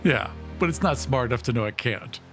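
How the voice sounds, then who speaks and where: A man's voice: mid-range voice, expressive, brisk, over music. A man talking casually as a player in a tabletop role-playing game.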